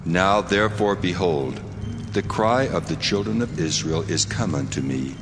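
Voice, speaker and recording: God, very deep, booming in reverb. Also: male voice, expressive, normal speed, background noise. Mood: solemn, resolute. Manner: solemn, commanding, declamatory. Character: God, solemn commanding male voice from burning bush. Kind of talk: dramatized audio Bible. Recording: long cavernous reverb.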